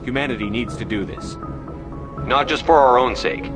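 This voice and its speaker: An actor playing a stern, resolute starship commander in a video game, terse and authoritative. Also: male voice, mid-range voice, normal speed, over music, close to an everyday voice. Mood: rousing, resolute.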